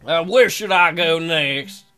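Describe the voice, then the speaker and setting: Bright, high-voiced man joking through a game. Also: slow pace, clear, resonant tone, soft background music. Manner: jokey, crude, rambling. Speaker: let's play host. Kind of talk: comic game commentary and read-aloud.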